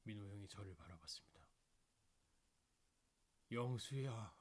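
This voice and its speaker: A man narrates an emotional audio story. His voice sits on the low side with a husky grain, and his delivery is deliberate, solemn and dramatic. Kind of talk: scripted sentimental story narration.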